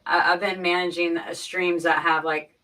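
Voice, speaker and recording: A woman talking casually on a livestream, explaining things informally and haltingly, often repeating her phrases. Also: lowish voice, flat delivery, bright, forceful tone. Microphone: boom mic, sitting back from it. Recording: distant from the microphone.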